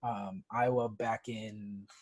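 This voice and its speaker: A man's voice: fairly low pitch, little pitch movement, slow pace, breathy edge. A man from St. Louis hosting a podcast, chatting casually and affirming his guest often. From St. Louis, USA. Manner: easygoing, many affirmations like yeah. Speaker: podcast host.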